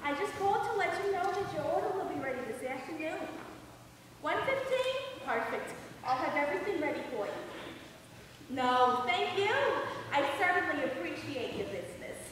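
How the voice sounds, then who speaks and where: A sprightly grandmother with a secret sideline, played by a woman on a comedy stage. Her voice is very high and swings about as she projects to the house. It reaches us from far off in a reverberant hall. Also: big pitch swings, moderate pace, a bit bright. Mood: chirpy, businesslike. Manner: projected, theatrical delivery. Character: sprightly grandmother secretly running a lingerie business. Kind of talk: amateur stage comedy acting. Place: theater stage, recorded from the audience. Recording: distant, echoey hall recording with faint noise.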